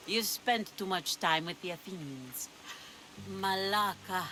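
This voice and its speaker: A woman's voice: fairly low pitch, a little slow, noisy background, close to an everyday voice. Woman acting as imperious pirate leader. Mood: alarmed, scolding. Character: commanding, proud female pirate leader who covets treasures. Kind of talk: video game cutscene dialogue acting.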